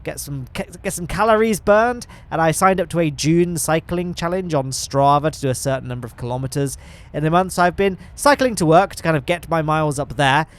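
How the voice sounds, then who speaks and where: English male gaming host, a bit high, nasal and stuffy, loose rambling commentary. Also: a little fast. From England. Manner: rambling, self-deprecating, lots of fillers and restarts. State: congested from hay fever. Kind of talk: chatty gameplay commentary.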